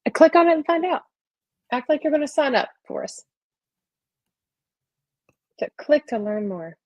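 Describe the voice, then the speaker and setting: A young woman talks in an upbeat, chatty way on a video call and speaks straight to the viewers. Her voice sits at a medium pitch. The sound is dry, with no room echo. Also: animated, normal speed. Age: young adult. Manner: chatty, upbeat, addresses viewers as guys and y'all. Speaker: guest. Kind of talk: casual live-stream chat.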